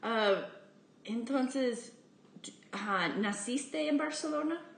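Slow woman learning Spanish. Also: on the high side, animated, gravelly, reverberant. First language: English. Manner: slow, searching for words as a learner. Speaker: podcast host. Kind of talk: casual interview.

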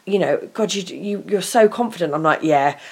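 A middle-aged woman co-hosting a podcast, talking in a relaxed, chatty way with frequent restarts and laughter. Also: on the low side, a little fast, slightly rough. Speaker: podcast co-host. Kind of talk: casual podcast chat.

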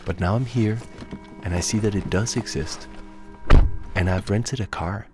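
A man from Denmark, a native Danish speaker, giving calm, reflective narration for a radio documentary. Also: fairly low pitch, measured pace, over loud music.

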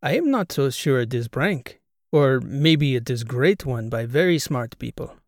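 A man's voice: mid-range voice, expressive, measured pace, dry recording, studio quality. A man voicing an earnest male Croatian PhD student who speaks clipped English with a Croatian accent and drops his articles. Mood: explaining.

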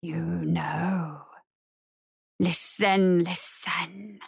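A woman plays a predatory spirit from a dark audiobook, hissing and hungry. The words come low and insistent, like a repeated chant, in a very dry recording. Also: steady tone, very slow, creaky, husky, dry recording, full character voice.

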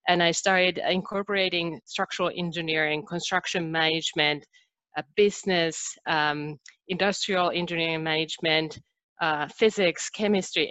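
Finnish-accented woman, low and dry. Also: fairly bright tone, dry recording. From Finland. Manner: earnest, with frequent "uh" and "um" pauses. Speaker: guest. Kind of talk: conversational interview.

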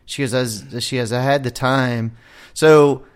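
A man's voice: mid-range voice, fairly even intonation, unhurried, clear, resonant tone. A man hosting a podcast, telling a rambling story in casual chat with a lot of swearing. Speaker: podcast host.

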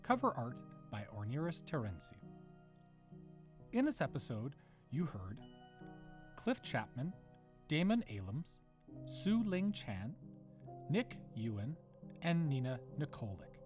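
Host guy reading the credits, friendly upbeat, lively voice, guitar music playing under. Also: mid-range voice, takes it slowly, long pauses. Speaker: producer and show host. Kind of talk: scripted outro announcement.

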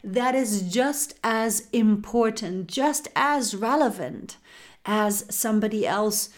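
A woman talks slowly and softly as she hosts a spiritual podcast. She speaks at an unhurried pace in a mid-range voice and calls her listeners sisters. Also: mid-range voice. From Germany. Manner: slow, soft-spoken, addresses listener as goddess and sister. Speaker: podcast host.

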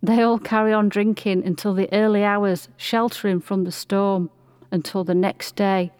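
A woman's voice: medium pitch, little pitch movement, normal speed, a little mellow, clean tone, dry recording, studio quality. A woman reading scripted narration for a radio play.

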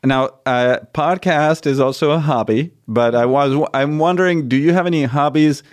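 A man's voice: a little high, normal speed. A man from Argentina, a priest hosting a podcast interview, telling stories in a chatty way with many fillers and restarts. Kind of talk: casual interview.

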